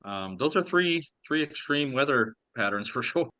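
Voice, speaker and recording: A man's voice: medium pitch, lively intonation, normal speed, bright, forceful tone, dry recording. An older man, chatting casually as co-host over a remote call. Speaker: co-host, retired police officer. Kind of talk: casual chat.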